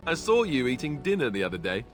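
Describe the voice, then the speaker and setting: A man's voice: mid-range voice, very animated, fast talker, close to an everyday voice. A man playing a young Grey Warden warrior in a video game, conversational and self-deprecating, with a wry, earnest way of talking. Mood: wry, amused. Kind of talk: video game dialogue acting. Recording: music behind the voice. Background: game music.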